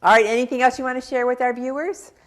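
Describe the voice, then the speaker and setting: A woman's voice: high-pitched, steady tone, fast talker. A woman hosting a local television interview show, friendly and encouraging toward her guest. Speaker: talk show host.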